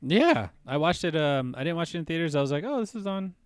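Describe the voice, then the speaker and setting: A man's voice: a little high, big pitch swings, quick pace, clean tone, no room sound. A man in his late thirties with an American accent, hosting a podcast in casual, slangy chat. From California, United States. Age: late 30s. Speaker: podcast host.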